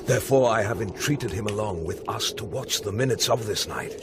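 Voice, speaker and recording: A man's voice: fairly low pitch, animated, brisk, natural voice. A British man acting an earnest, anxious soldier on night watch in Shakespearean radio drama, with intense theatrical delivery. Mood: hushed, anxious. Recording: noisy 1990s radio drama with ambience.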